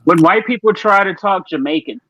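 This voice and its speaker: A woman's voice: medium pitch, brisk, a bit bright, muffled recording. A woman guest on a comedy podcast, chatting playfully and laughing often. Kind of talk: casual comedic chat.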